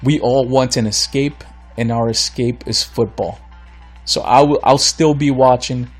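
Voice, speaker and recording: A man's voice: mid-range voice, fairly even intonation, a little slow, soft background music. Ranting podcast man losing his voice. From Florida. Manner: blunt, ranting, exasperated. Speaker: podcast host. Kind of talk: solo podcast rant.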